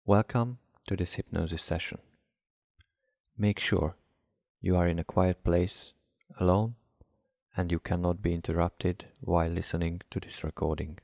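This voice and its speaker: Deep voiced guy doing a hypnosis relaxation script, measured n deliberate, really dry close recording. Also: fairly even intonation, creaky, a little mellow, no echo. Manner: measured, instructive, deliberate phrasing with pauses. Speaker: hypnotherapist guiding a session.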